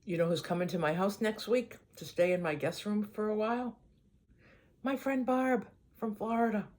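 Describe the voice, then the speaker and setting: An older woman with a Boston accent talks to her channel in a loose, meandering way. Her voice sits in a middle pitch and often drops off quietly at the end of a thought. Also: fairly even intonation, moderate pace. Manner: rambling, easygoing, voice often trailing off quietly. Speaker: vlogger. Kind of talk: casual chat to camera.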